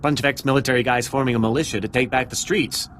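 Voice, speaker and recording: An actor playing a nervous, jumpy security officer in a video game, with an anxious, hesitant way of talking. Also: male voice, medium pitch, steady tone, fast, a little hiss or hum, close to an everyday voice. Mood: earnest, uneasy. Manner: hesitant, anxious, hushed urgency. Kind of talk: video game character acting.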